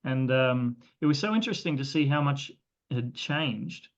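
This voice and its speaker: A man's voice: medium pitch, expressive, moderate pace, lo-fi sound. A man with an Australian accent hosting an interview in a conversational way. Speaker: interviewer, host.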